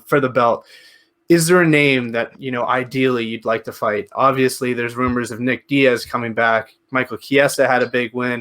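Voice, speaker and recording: A man's voice: mid-range voice, a bit bright. A man hosting a podcast, chatting casually and conversationally as the interviewer.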